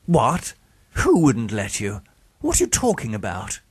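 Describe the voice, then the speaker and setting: A man's voice: on the low side, wildly swooping pitch, measured pace, breathy edge, no room sound, heavily acted. A man voicing an arrogant, murderous surgeon in an audiobook, with a sardonic, theatrical and falsely affable manner. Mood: irritated.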